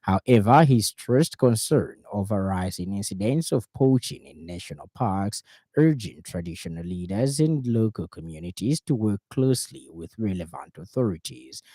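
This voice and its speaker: A man reading a scripted radio news bulletin in a formal, measured way. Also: on the low side, mellow, slightly rough, no echo. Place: radio studio.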